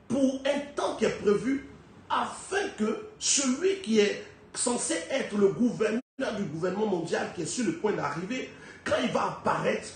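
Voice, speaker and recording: A man with a fairly high voice and a head cold delivers a sermon, pressing his points with rhetorical questions. His delivery climbs to loud, high peaks and then drops low and quiet. The voice rings through a very echoey hall, with a faint hiss of noise behind it. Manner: emphatic, rhetorical questions, big swings in pitch and loudness. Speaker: preacher.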